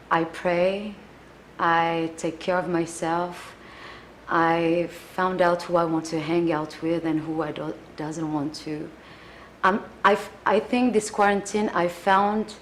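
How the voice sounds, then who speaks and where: A woman with a French accent and a somewhat low voice talks earnestly in an interview, reaching for her English words and filling gaps with "like." A faint hum of room ambience sits behind her. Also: poor audio. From France. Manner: earnest, searching for words, many "like" fillers. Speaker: singer, interview guest. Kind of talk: casual interview answers.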